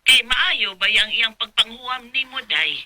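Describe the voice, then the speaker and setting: A woman's voice: on the high side, animated, a little slow, bright, forceful tone, heavily acted. An actress playing a placating, resigned mother of grown daughters in a radio drama. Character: middle-aged or older mother, placating and resigned. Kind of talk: Filipino radio drama acting.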